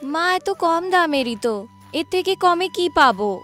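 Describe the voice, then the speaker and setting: An eager, childlike little daughter from a storybook cartoon , voiced by a woman. She speaks in a very high voice with a pleading, bright-minded manner. Music plays underneath. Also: lively intonation, unhurried, exaggerated voice.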